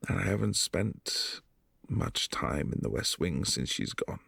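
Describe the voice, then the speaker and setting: A man playing a brooding, possessive vampire prince in a boyfriend roleplay, speaking low and hushed and sometimes dropping to a whisper. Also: expressive, measured pace, gravelly, slightly rough, no room sound, exaggerated voice. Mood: wistful.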